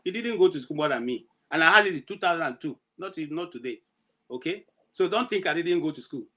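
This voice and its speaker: A man's voice: a little high, lively intonation, fairly quick, sharp tone. A man with a Nigerian accent hosting a talk show and arguing heatedly, insistent and indignant, often repeating himself. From Nigeria, Igbo-speaking southeast. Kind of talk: heated panel argument.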